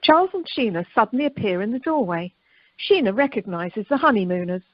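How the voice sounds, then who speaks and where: A woman's voice: mid-range voice, animated, a little fast, no echo. A woman reading scripted narration and stage directions for an amateur radio play, recorded over the telephone. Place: recorded remotely by telephone during lockdown. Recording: muffled telephone conference line.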